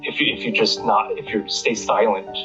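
An American man giving a reflective interview, halting as he searches for words. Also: fairly low pitch, steady tone. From United States. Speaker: interviewee, independent bookstore owner. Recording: slightly muffled, music behind.

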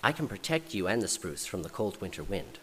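A man's voice: low-pitched, a little fast. A man reading aloud and voicing a big, strong pine tree that steadily offers protection. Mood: reassuring. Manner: steady and reassuring. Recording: reverberant hall, faint noise.

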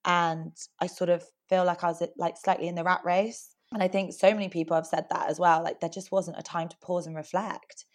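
A young woman with a croaky voice, chatting earnestly as a podcast guest. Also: fairly low pitch, little pitch movement, fairly quick, hardly any pauses. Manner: chatty, earnest, fillers like "like" and "sort of". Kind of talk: casual interview chat.

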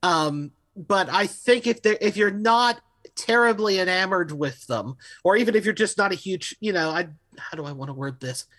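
A man's voice: sits high, lively intonation, moderate pace, fairly bright tone. A man hosting a casual panel review, talking in a wry, rambling way and often qualifying what he says. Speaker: podcast host reviewing audio dramas.

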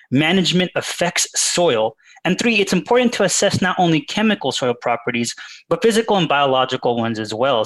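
A man answering interview questions as a researcher, measured and explanatory, with fillers and restarts. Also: on the high side, fairly bright tone, low-quality recording. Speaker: guest researcher.

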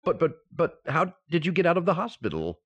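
An actor playing an excitable, befuddled, affectionate husband in a 1940s-style radio sitcom, with stammering comic delivery. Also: male voice, on the high side, brisk, husky, heavily acted. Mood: bewildered, eager.

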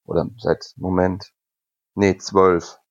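A man's voice: sits low, flat delivery, slow, gravelly, lo-fi sound. A man from Hesse with a light Hessian accent, chatting casually and jokingly in a podcast conversation. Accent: Hessian German. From Germany, Hesse. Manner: joking, rambling, with fillers.

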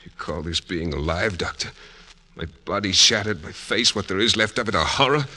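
Anguished wounded soldier, deep and forceful. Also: male voice, normal speed, exaggerated voice. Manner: anguished, melodramatic pleading. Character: shattered, despairing wounded soldier refusing to be identified. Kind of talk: 1940s-1950s radio drama acting. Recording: old radio drama recording.

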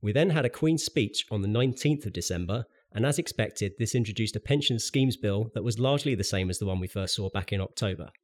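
A man's voice: on the low side, lively intonation, fast, hardly any pauses. A man hosting a podcast, reading a scripted pensions news update with dry, wry asides, sounding a little bunged up from a cold. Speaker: podcast host.

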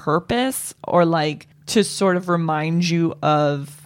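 A woman co-hosting a comedy podcast, chatting casually with a somewhat low voice and rambling through many fillers and restarts. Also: slow. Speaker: podcast co-host.

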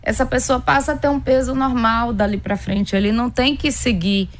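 A woman's voice: on the high side, steady tone, normal speed. A woman doctor from Pernambuco with a Northeastern Brazilian accent, explaining things conversationally with everyday examples as an interview guest.

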